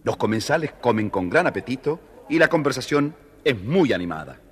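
A man narrating a scripted children's story in a measured, expressive way. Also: medium pitch, slightly rough. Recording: reverberant studio story recording. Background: music.

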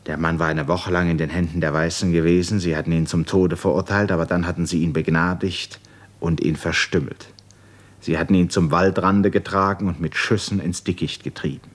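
A man narrating a literary radio drama in a grave, measured manner, with short, deliberate phrases. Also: sits low, fairly even intonation.

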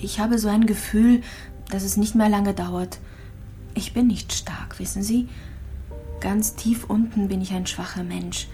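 An actress playing a gravely ill young immigrant mother, frail and resigned, confiding in halting, repeated phrases in a radio drama. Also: mid-range voice, steady tone, mellow, soft background music, close to an everyday voice. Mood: weary, searching. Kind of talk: intimate radio drama monologue and dialogue.

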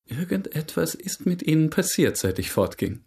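An actor playing a courteous, inquisitive gentleman suitor in a German radio drama, formal and gently probing. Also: on the high side, sing-song, moderate pace, slightly rough, full character voice.

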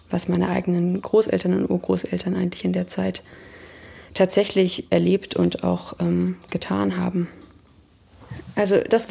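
A woman of about thirty speaks in a reflective interview register. Her voice is strongly creaky and on the dark, mellow side. She picks her words thoughtfully as she gives a personal account. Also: on the low side. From Germany. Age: 30s.

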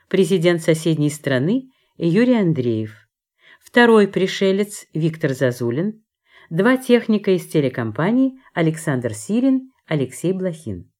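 A woman's voice: fairly low pitch, darkish tone. A woman announcer reading scripted credits for a radio drama in a formal broadcast style.

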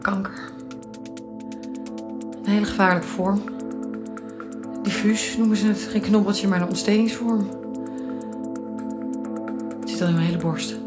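A woman's voice: medium pitch, steady tone, unhurried, pauses often, lightly acted. Emotional young wife played by a woman, mid voice, radio play with music under her. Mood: grave. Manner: frank, emotional. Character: young wife and mother, frank, emotional, frightened. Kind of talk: radio drama acting.

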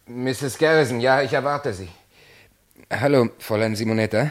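A man's voice: medium pitch, moderate pace, close to an everyday voice. An actor playing a naive, honest, newly rich small-town man in a radio play, courteous, hesitant and sincere. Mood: polite, uneasy. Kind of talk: German radio play acting.

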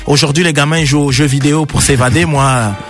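A man's voice: a little high, steady tone, quick pace, a bit bright, faint music behind. A man guest in a radio studio, talking earnestly and reflectively, often tagging sentences with "you see". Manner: reflective, earnest, leans on "tu vois". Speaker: guest artist promoting a film. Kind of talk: radio interview talk.

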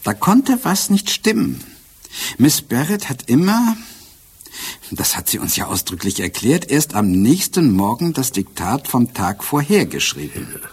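An actor playing a shrewd, self-assured police inspector in a crime radio drama, explaining in a measured, dryly ironic way. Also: male voice, mid-range voice, sing-song, breathy edge, heavily acted.

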